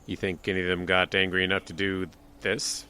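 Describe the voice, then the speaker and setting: A man's voice: low voice, monotone, a little fast, bright, forceful tone, smooth. A man playing a dry, guarded small-town sheriff in a radio drama. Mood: probing.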